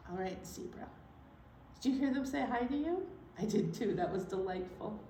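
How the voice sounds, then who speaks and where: A woman leading a children's story time, speaking to young children in an animated, encouraging way and asking them questions. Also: medium pitch, normal speed. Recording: roomy echo, distant mic, faint noise.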